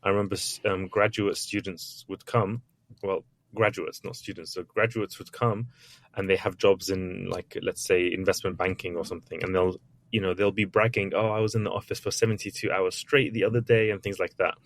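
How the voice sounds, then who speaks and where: A man's voice: low-pitched, flat delivery, a little fast. A man, an author and entrepreneur, answering interview questions in a candid, chatty way with frequent restarts. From Iraq, raised in England. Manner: candid, chatty, with many restarts and little acted quotes.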